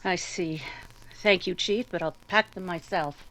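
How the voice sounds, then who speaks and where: A woman playing a gentle, composed small-town spinster accused of a crime, quiet and dignified, in an old-time radio drama. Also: lowish voice, unhurried, lightly acted. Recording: very noisy track with clicks.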